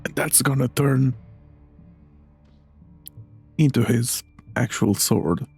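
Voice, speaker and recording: A man's voice: mid-range voice, takes it slowly, creaky, husky, soft background music. A man giving casual commentary while playing a video game. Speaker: gamer reacting to a game.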